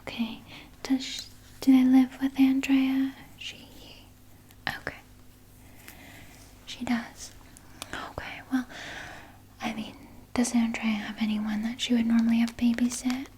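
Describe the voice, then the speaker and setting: A woman playing a soothing, evasive vampire nurse in a soft, breathy ASMR whisper, gently coaxing and sweetly sinister. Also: on the high side, level tone, measured pace, long pauses, slight background noise, natural voice. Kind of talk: whispered ASMR personal attention roleplay.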